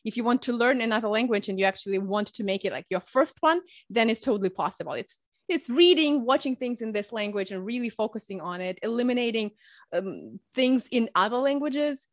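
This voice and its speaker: A woman from Russia, raised in Ukraine, speaking English as a podcast guest. She talks in an energetic, chatty way, laughing often and explaining through examples. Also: on the high side, fairly quick, no echo, clean studio sound. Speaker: guest, public speaking coach. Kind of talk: conversational podcast interview.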